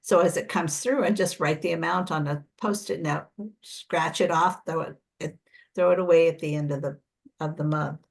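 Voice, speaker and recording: A woman's voice: low-pitched, fairly even intonation, normal speed, vocal fry. An older woman with an American accent, explaining things conversationally as a preparedness coach in an informal workshop and often correcting herself. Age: 60s or older.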